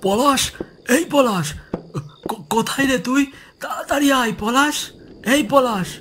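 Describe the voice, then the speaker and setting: A man voicing a frantic teenage village boy who calls out breathlessly and urgently in an animated horror story. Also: very high-pitched, takes it slowly, background noise, voice pushed up. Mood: alarmed calling.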